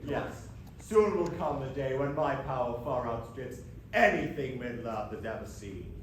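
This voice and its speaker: A man's voice: medium pitch, expressive, measured pace, close to an everyday voice. A man playing a pompous, exasperated villain wizard in a comic stage pantomime, declaiming grandly. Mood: commanding, indignant. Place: stage in a hall with live audience. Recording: echoey theatre, distant mic, noisy.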